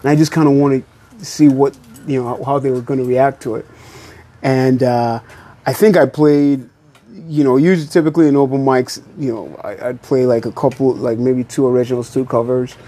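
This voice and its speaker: A man from Nigeria, a musician, chatting in a relaxed, hesitant way outdoors, often repeating words and saying "you know.". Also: medium pitch, moderate pace. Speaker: musician guest. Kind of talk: casual interview chat.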